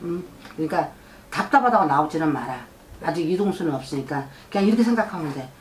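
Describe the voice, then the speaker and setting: A woman's voice: deep voice, lively intonation, quick pace, slight background noise. A middle-aged woman, a shaman fortune teller, giving a client blunt advice and scolding her, and she keeps asking whether the client has understood. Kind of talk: fortune-telling consultation. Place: shrine office indoors.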